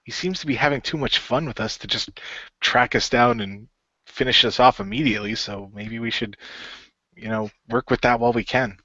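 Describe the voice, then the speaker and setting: A man's voice: mid-range voice, moderate pace, bright, forceful tone, no echo, lo-fi sound. A man voicing an earnest, nervous but determined hero in an amateur radio play, hesitant and repeating himself. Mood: uncertain.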